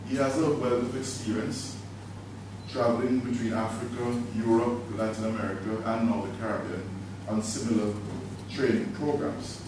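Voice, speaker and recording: A man formally introducing a trainer to a workshop audience, speaking in a measured way. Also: mid-range voice, little pitch movement. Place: conference room. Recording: distant echoey room recording with noise.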